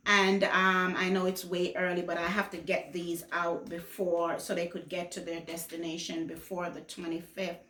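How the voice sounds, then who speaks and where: A woman's voice: on the low side, little pitch movement, normal speed, hardly any pauses, sharp tone. A woman from Jamaica who later moved to the US, chatting casually to viewers in a rambling, conversational way as a lifestyle vlogger. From Jamaica until age fourteen, then the US. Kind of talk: casual vlog chat to viewers. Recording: echoey room.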